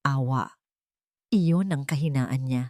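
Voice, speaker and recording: A woman's voice: very deep voice, slow pace, a little mellow, no room sound, clean studio sound. A woman reading a scripted story as a dramatic narrator.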